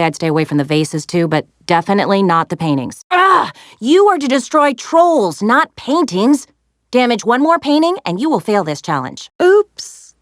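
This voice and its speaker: An actress voicing a plucky, sassy young woman adventurer in a video game, expressive and wry as she briefs heroes. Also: lowish voice, no echo, studio quality, close to an everyday voice. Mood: alarmed, exasperated. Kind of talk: animated video game character lines, giving mission briefings.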